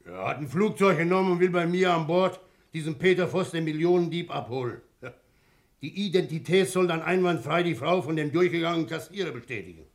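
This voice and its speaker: A blustering ship's captain , acted by a man in a vintage German radio drama. His voice sits a little high for a man, with a gravelly creak, and he sounds gruff, hearty and quick to curse. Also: lightly acted. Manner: gruff, hearty, grumbling and cursing. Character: blustering, jovial German ship's captain, middle-aged or older. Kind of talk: 1960 German radio play acting.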